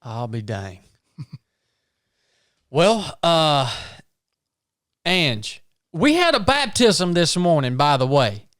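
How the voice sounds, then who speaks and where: A man's voice: a little high, sing-song, extremely slow, long pauses, fairly bright tone, dry recording, studio quality. A man hosting a podcast, talking in an earnest, folksy, casual way and often tagging his sentences with "man". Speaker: podcast host. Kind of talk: casual interview chat.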